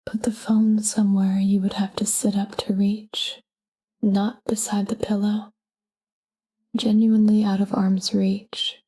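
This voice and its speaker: A woman whispers a guided sleep meditation with a very breathy, airy voice. Her delivery is slow and calm, staying almost flat in pitch. She speaks gently, in short phrases with room between them.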